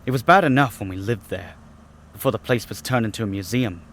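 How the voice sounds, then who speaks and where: A man's voice: lowish voice, fairly quick, close to an everyday voice. An actor playing a restless, outspoken young man who is uneasy, in a radio mystery drama. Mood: bitter, brooding. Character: restless young heir, an aircraft designer, uneasy yet outspoken. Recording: steady noise behind, vintage radio recreation.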